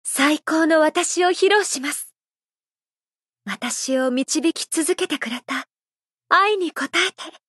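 A woman voicing a gentle, elegant, playfully affectionate idol singer in a mobile game, speaking in a soft, measured, heartfelt way. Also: high-pitched, no room sound, studio quality. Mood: sincere, uplifted.

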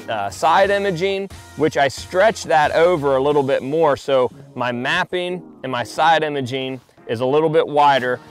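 A man walking through his fishing boat outdoors, talking in a relaxed, chatty way with many fillers and restarts. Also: a little high. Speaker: pro angler giving a boat tour. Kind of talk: casual walkthrough vlog.

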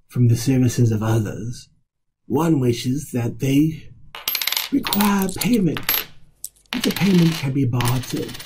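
A man reading a slow, solemn, reflective story narration in measured, old-fashioned language. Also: medium pitch, mellow, slight background noise, rough recording. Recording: music bed under the voice.

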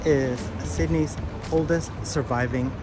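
A man's voice: on the high side, fairly even intonation, takes it slowly, loud music behind the voice. Chatty man vlogging on a city walk. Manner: chatty, easygoing commentary while walking. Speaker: travel vlogger. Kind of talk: casual walking-tour vlog. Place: outdoors on city streets, parks and in shops. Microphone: phone held at arm's length.

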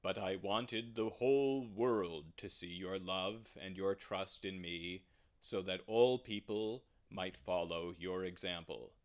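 A man voicing God as a grave, authoritative male figure, measured and solemn. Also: low voice, voice pushed down. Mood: calm.

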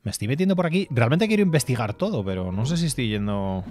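A man's voice: medium pitch, very animated, fast, darkish tone. Casting: man, 30s, accent from Spain, rambling casual game-stream chatter, faint music underneath. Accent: Spanish from Spain. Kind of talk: casual gameplay commentary.

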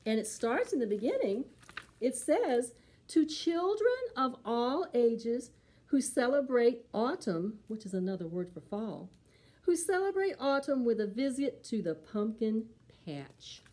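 A middle-aged woman hosting a preschool storytime, reading and talking to young children in an expressive, sing-song way. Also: on the high side, a little slow. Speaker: library storytime host for preschoolers. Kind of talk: children's storytime, singing and reading a picture book aloud.

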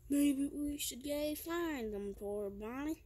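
A woman's voice: high-pitched, animated, slow, warm tone, a little hiss or hum. A woman voicing a female chick plush toy with a put-on Texan drawl, talking in a folksy way.

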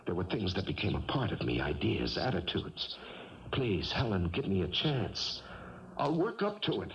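An actor playing a weak, evasive ex-convict husband who pleads and stammers, in 1970s radio drama style. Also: male voice, low-pitched, very animated, moderate pace, a little hiss or hum. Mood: anxious, pleading. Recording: muffled vintage radio broadcast.

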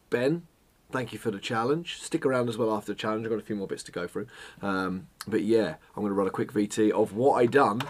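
A man, chatting casually to camera as a photography vlogger, self-mocking and full of jokey asides, a little hoarse from a cold. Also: on the low side, slight background noise. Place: home studio room, indoors.

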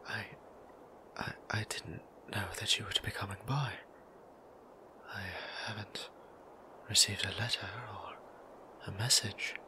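A man voicing a gentle, easily flustered male vampire priest who talks in a soft whisper and stammers when nervous, for an ASMR audio roleplay. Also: on the low side, fairly even intonation, measured pace, long pauses, natural voice, low-quality recording.

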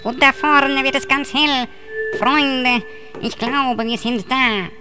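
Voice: high voice, fairly even intonation, takes it slowly, over music. A young performer voicing a helpful turtle who guides space travellers in an amateur children's audio drama. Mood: helpful, guiding.

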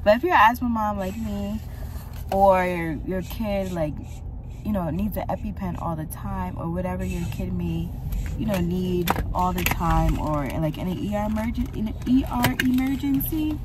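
Chatty mom vlogging from a car. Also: female voice, medium pitch, normal speed. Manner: chatty, explaining with fillers. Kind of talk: casual vlog chat. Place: inside a car in traffic. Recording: road noise in a moving car. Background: car and traffic noise.

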